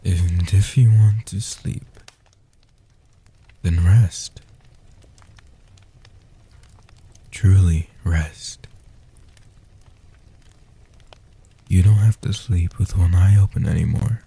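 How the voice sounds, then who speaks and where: A gentle, age-old vampire guardian acted by a man speaks softly in a comfort audio roleplay. The voice is low, dark and very breathy, often sinking toward a whisper. It soothes and protects with tender reassurance. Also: fairly even intonation, slow, heavily acted. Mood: soothing, protective. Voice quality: breathy, husky, half-whispered.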